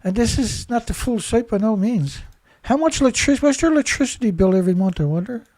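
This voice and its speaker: A man's voice: high voice, expressive, a little fast, darkish tone, no echo. A man hosting a solo news commentary, stuffed up, rambling and indignant, full of rhetorical questions. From Canada. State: stuffed-up nose. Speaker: host.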